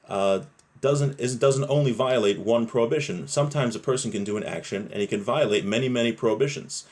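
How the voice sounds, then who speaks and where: A man giving an informal religious law lesson, explaining as he goes and using Hebrew terms and phrases. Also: fairly low pitch. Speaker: rabbi teaching a religious law class. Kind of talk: informal lesson, reading a text aloud and explaining.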